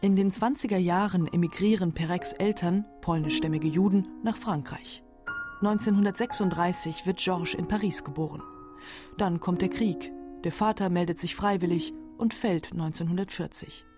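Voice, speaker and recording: A woman narrating a scripted radio documentary in a measured, matter-of-fact way. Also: fairly low pitch, over music.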